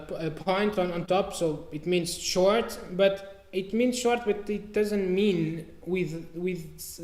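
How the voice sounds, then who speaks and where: A man from Portugal with a Portuguese accent, teaching an online trombone masterclass in a relaxed, halting way. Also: high voice, echoey room. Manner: relaxed, halting, sings out note syllables to demonstrate. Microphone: video call mic.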